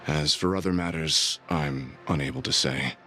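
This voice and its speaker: A man's voice: very deep voice, moderate pace, pushed and bright, soft background music. A man playing a reserved, dutiful military aide in a video game, dry and stoic. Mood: reserved, matter-of-fact. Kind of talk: scripted video game voice acting.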